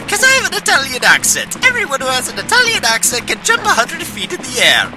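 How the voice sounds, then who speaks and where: A man voicing an impish, boastful forest imp with a silly fake Italian accent, very high above his own voice. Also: huge pitch swings, fast talker, heavily acted, poor audio. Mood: smug taunting. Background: game music.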